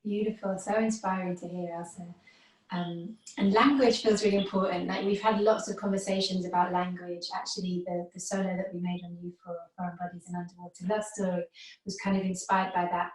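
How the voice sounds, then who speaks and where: Woman host, flat even delivery, encouraging questions, echoey room, set back from the mic. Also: lowish voice, brisk, recorded from a distance. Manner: warm, encouraging, loosely phrased questions. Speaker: host and choreographer. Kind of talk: interviewing a collaborator. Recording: roomy sound from away from the mic.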